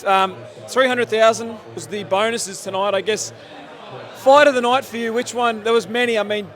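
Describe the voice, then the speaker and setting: Aussie guy hosting fight reactions, voice shot from yelling, high and excited. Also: moderate pace. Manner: excited, rambling, many fillers. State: losing his voice from yelling. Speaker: host. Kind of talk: post-fight reaction interview. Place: sports bar at a casino.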